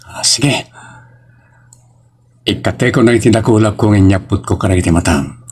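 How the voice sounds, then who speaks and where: A man's voice: fairly low pitch, moderate pace, husky. A man with a Filipino accent playing a devoted young man in love in an audio drama, with an earnest delivery. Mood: tender. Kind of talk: romantic audio drama acting. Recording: music under the voice.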